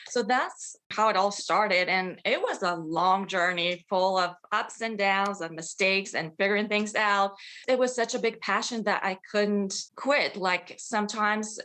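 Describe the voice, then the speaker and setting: A middle-aged woman from Bulgaria, whose first language is Bulgarian, talks about her children's books as an interview guest. She sounds eager and laughs often, and her voice sits at a medium pitch. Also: sharp tone. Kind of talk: interview answers, storytelling.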